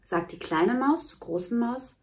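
A woman's voice: on the high side, animated, a little slow, a little mellow, slight background noise. Woman telling a mouse joke. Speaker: joke teller.

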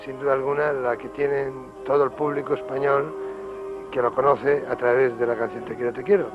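A man's voice: medium pitch, little pitch movement, fairly quick, music in the background. A man, a composer, speaking politely and formally into a handheld mic in a studio. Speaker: composer, guest. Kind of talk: greeting viewers and praising the singer. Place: recording studio by a piano. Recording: tinny 1970s TV film sound.

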